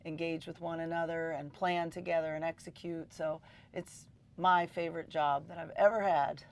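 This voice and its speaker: Teacher lady, middle-aged, kinda low fried voice, chatty and excited, lots of ums. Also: normal speed. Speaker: high school teacher. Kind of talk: interview.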